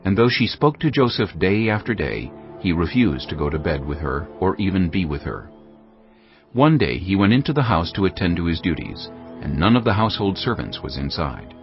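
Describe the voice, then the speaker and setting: A man giving solemn, measured scripted narration for a dramatized audio Bible. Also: deep voice, sing-song. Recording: muffled audio with music underneath. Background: background music.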